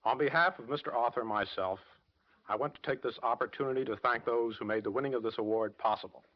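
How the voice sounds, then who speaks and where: A man's voice: mid-range voice, fast. A man, a radio producer, giving a formal scripted thank-you speech on 1940s radio. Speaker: radio producer-director accepting an award. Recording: muffled vintage radio recording.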